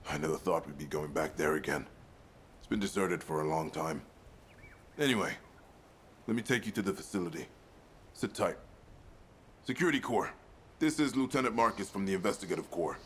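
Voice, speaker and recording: Steady military officer in a sci-fi game, lowish voice, bit of background noise. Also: male voice, fairly quick, pauses often. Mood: urgent. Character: steady male lieutenant of a sci-fi investigative corps. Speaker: military officer escorting the player. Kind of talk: video game voice acting.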